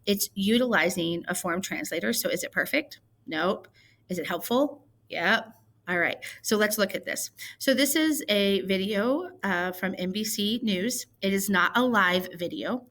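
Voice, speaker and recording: Woman teacher from Missouri, chatty tutorial explainer, mid pitch, easygoing filler-heavy delivery. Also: a little slow, fairly bright tone. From Missouri, United States. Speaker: ELL coordinator and teacher. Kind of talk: screen-recorded tutorial.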